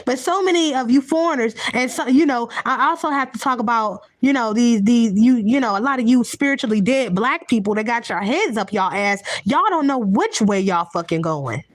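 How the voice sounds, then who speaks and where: A woman's voice: on the high side, animated, moderate pace, hardly any pauses, no echo, studio quality. A woman giving a heated, profane, unscripted rant to her viewers, blunt and repetitive, often restarting her sentences. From United States. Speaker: vlogger.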